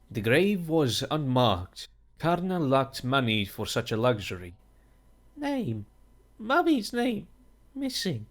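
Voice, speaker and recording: Man narrating a fantasy story, very slow pace, mid pitch, faint music underneath. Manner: measured, even storytelling delivery. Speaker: story reader. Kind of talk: reading a fantasy story aloud.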